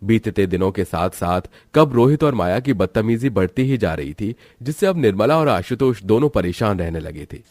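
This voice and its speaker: Casting: male storyteller for scripted moral tales, fairly low pitch, close dry sound. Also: lively intonation, normal speed, few pauses, a little mellow, no room sound. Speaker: narrator.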